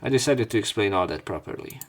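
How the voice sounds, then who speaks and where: A man's voice: lowish voice, animated, fast, faint music behind. A man doing let's play commentary, reading visual novel narration aloud with opinionated asides. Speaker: let's player.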